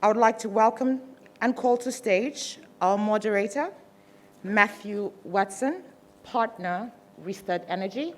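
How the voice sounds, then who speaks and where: A woman conference director giving a formal, measured welcome from a stage. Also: mid-range voice.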